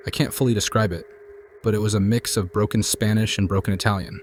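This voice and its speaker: A man calmly narrating true scary stories in a measured, hushed scripted style. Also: lowish voice, a little hiss or hum. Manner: calm, measured, hushed storytelling. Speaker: narrator reading viewer stories. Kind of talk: scripted horror story narration.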